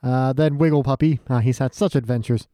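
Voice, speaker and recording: A man's voice: mid-range voice, fairly quick, mellow, dry recording, studio quality. A man appearing as a podcast guest, chatting casually through a review in a wry, self-deprecating way.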